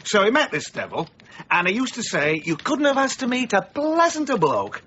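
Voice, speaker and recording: A man's voice: sits high, huge pitch swings, normal speed, pushed and bright, slight background noise, exaggerated voice. An actor playing a blunt, gossipy villager who boasts of his grandfather over a drink. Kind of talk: BBC radio play acting. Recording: slightly dull radio broadcast.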